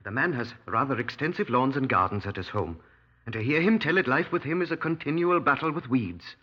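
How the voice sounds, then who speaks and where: A man's voice: mid-range voice, fairly quick, natural voice. An actor playing an anxious, resentful village businessman in a 1950s radio drama, speaking in a nervous, hesitant, indignant manner. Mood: insistent. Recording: dull old radio recording.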